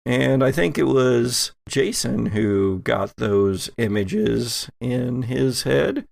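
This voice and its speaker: A man's voice: mid-range voice, expressive, slow pace, creaky, darkish tone, no echo, clean studio sound. A man chatting casually as a podcast host and game master. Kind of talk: casual chat.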